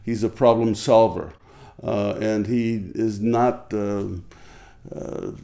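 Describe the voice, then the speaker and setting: Male tribute speaker, low and mellow, measured praise. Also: little pitch movement. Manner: measured and admiring, piling up qualities. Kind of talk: tribute interview.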